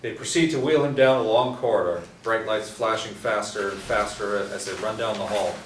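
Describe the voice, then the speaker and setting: Low voiced guy reading out stage directions, echoey room, kinda far off, faint hiss. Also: normal speed. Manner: steady, with small restarts. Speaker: script narrator.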